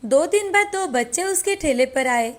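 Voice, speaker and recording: A woman's voice: high-pitched, animated, normal speed. A woman storyteller reading a scripted moral tale as narrator. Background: faint background music.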